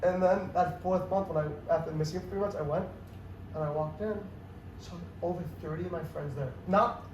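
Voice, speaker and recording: Young male comedian guest, high voice, excitable rambling storyteller, roomy distant mic. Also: brisk, muffled recording. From New York City area. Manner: excitable, rambling, lots of "like" and restarts. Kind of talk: casual storytelling chat. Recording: echoey room, mic at a distance, faint noise.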